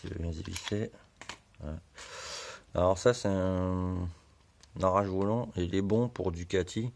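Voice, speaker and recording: A hoarse man giving a relaxed, slangy how-to explanation full of fillers, in a home garage. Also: low voice, a little slow. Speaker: motorbike hobbyist.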